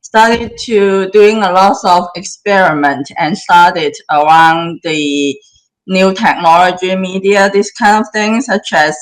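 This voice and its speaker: A woman's voice: on the low side, rough recording. A woman in her thirties from southern China, speaking in an interview, often restarting her sentences. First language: Cantonese. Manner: halting, many restarts. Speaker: guest filmmaker. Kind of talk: casual interview talk.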